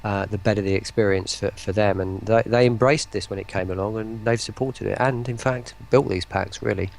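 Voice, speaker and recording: Gravelly, low-voiced man, halting. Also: steady tone. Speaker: interview guest, voice pack creator and writer. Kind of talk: casual interview chat.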